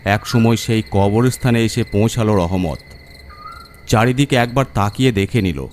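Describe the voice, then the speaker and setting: A man narrating a scripted horror story in a suspenseful, deliberate manner. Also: fairly low pitch, a little mellow, slight background noise.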